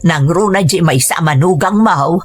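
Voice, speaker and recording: A woman's voice: fairly low pitch, moderate pace, husky. An actress playing a demanding, irritable older mother and wife in a radio drama, over background music. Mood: insistent.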